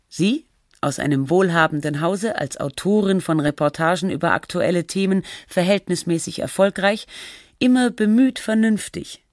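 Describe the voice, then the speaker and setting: An actress playing a sober documentary narrator, with measured, literary, analytical delivery of a scripted text. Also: female voice, on the low side, no room sound, clean studio sound, natural voice.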